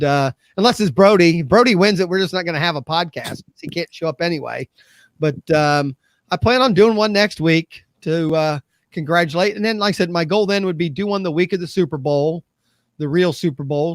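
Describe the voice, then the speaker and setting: A man's voice: a little high, no echo. A man in his fifties hosting a podcast in casual chat, rambling and loose with swearing. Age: 50s. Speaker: podcast host.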